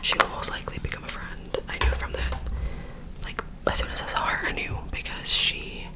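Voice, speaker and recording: A young woman whispering a rambling story into a close microphone while doing her makeup, often correcting herself as she goes. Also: fairly quick. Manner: rambling, self-correcting, filler-heavy storytelling. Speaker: ASMR creator.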